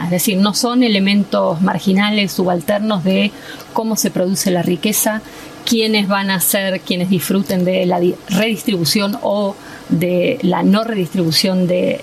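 A woman giving reflective, academic political commentary as a guest, measured and full of small hesitations. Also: mid-range voice, creaky, darkish tone, slight background noise, low-quality recording. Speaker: guest speaker.

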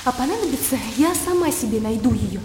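Radio play: young, teasing gentlewoman, high voice, impulsive, blunt; reverberant sound. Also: brisk, slight background noise. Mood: irritated, defiant. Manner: lively, impulsive, mocking. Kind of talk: Russian radio drama acting. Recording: echoey studio reverb, music underneath.

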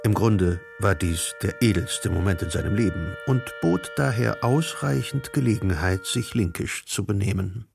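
Guy narrating a radio play, scripted literary reading, creaky voice, faint music behind. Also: on the low side, moderate pace, hardly any pauses.

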